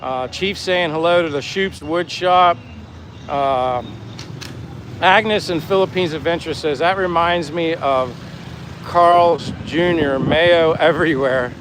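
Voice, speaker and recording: A man's voice: fairly high pitch, slow pace. A man chatting casually on a walking livestream, reading out viewer comments and thanking people in a friendly, effusive way. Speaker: livestream host. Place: outdoors on the move, near traffic. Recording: clear outdoor traffic and engine noise.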